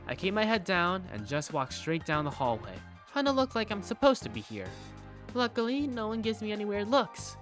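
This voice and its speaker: A man voicing a curious, self-doubting high school student who narrates a visual novel fandub in the first person. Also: huge pitch swings, moderate pace, fairly bright tone, faint music behind, heavily acted. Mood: curious, uneasy.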